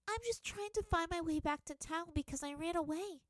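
A sly, tough young girl, voiced by a woman reading aloud, speaks in a bright, high, sugary tone. Her voice sits well above an adult's. The sound is close and dry. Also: fairly quick, no echo. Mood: sweetly pleading. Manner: sweet and innocent when pretending, blunt when alone.

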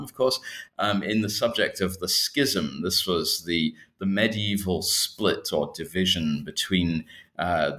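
A man from Scotland in his thirties, a historian speaking as an interview guest, with many pauses and fillers. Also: sits low, fairly bright tone. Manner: hesitant, frequent fillers. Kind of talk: academic interview.

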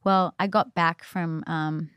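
A woman with a fairly low voice shares a faith story as a podcast guest. She talks earnestly and rambles, with lots of "like" and "you know". She is recorded close to the mic in a dry room. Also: fairly even intonation, takes it slowly, fairly bright tone, clean tone, no echo.

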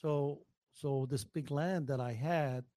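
A man talks in a casual group chat. His voice sits a little high and is recorded dry. He tells things haltingly and repeats words to stress them. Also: dark tone, no room sound.

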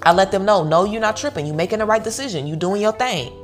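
A woman's voice: medium pitch, fast, soft background music. An American woman giving an informal motivational pep talk, speaking bluntly and emphatically, repeating phrases to drive them home. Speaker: online community host giving self-worth advice.

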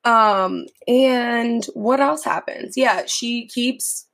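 Hoarse woman co-host, gravelly. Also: fairly high pitch, very slow, fairly bright tone. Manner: chatty, recaps scenes with lots of "like". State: hoarse from recovering illness. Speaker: podcast co-host. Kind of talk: casual chat.

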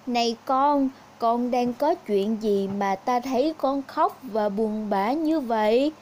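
A woman voicing a kind, gentle old man from a fairy tale, speaking slowly in a fatherly way. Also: fairly high pitch, slight background noise. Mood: gentle, consoling.

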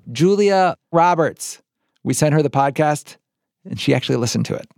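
A man's voice: fairly high pitch, lively intonation, normal speed, vocal fry, a little mellow, no echo, studio quality. An American man hosting a podcast, moving between scripted storytelling narration and casual interview questions. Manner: conversational storytelling.

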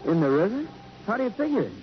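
A man's voice: high voice, big pitch swings, quick pace. An actor playing a plain-spoken American policeman, casual and questioning, in a 1950s radio drama. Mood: puzzled. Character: plain-spoken, puzzled male street policeman. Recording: dull, very noisy old radio recording.

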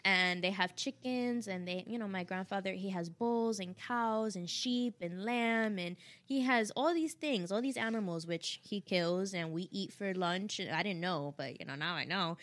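A young woman hosting a podcast, telling stories in a lively, casual way and often checking in with "okay?". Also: mid-range voice, moderate pace, few pauses. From Dominican heritage, raised in the United States. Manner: animated storyteller, punctuates with "okay?". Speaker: podcast host. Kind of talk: casual chat.

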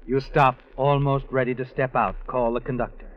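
An actor playing a knowing, ominous narrator who tells an old radio mystery to its heroine. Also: male voice, medium pitch, level tone, moderate pace, natural voice. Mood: knowing, suspenseful. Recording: dull vintage radio recording. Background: dramatic music.

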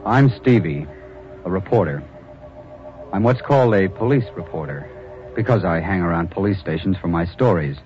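A man's voice: low voice, animated, normal speed, darkish tone. An actor playing a haunted police reporter who narrates his own grim tale in 1940s radio drama style. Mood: grim, intense. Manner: dramatic first-person storytelling. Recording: old radio recording, muffled, music behind.

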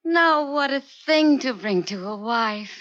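An actress in a 1950s radio drama playing a fond, trusting sailor's wife, coy and affectionate. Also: female voice, fairly high pitch, expressive, takes it slowly, a bit bright. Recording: dull vintage radio recording.

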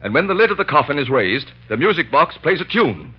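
An actor playing an earnest, threatened doctor with a formal manner in a 1940s radio drama. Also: male voice, fairly high pitch, sing-song, brisk, slightly rough, faint music behind. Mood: grave, explaining. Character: earnest threatened male doctor, former prisoner. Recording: dull old radio recording.

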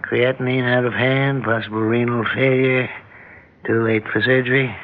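A man's voice: mid-range voice, level tone, normal speed, slight background noise, natural voice. Man acting proud, ailing old doctor on muffled radio. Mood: grim, weighing. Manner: probing, commanding, dryly wry. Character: elderly ailing male doctor, sharp, proud, selfless. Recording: dull lo-fi old radio recording.

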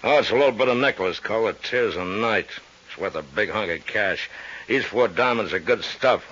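Gruff american mob boss, really low voice, kinda husky, slangy and world-weary, old radio drama. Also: male voice, animated, normal speed, fairly bright tone. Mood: shifty, confiding. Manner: blunt, slangy tough-guy talk. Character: gruff, shifty, world-weary male gambling-joint boss, ex-bootlegger. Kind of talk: 1950s radio drama acting. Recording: dull old radio recording.

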